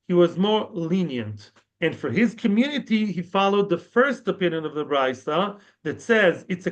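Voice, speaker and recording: A man giving a conversational Talmud lesson, explaining terms and mixing in Hebrew and Yiddish words. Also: high-pitched. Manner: conversational, frequent "yeah" and restarts. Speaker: rabbi teaching Talmud. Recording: dull lo-fi audio.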